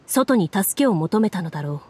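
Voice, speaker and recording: A woman playing a composed game master who narrates a fantasy tale in a measured, formal way. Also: lowish voice, animated, darkish tone, breathy edge. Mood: composed, solemn. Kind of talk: scripted dramatic narration. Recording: music under the voice.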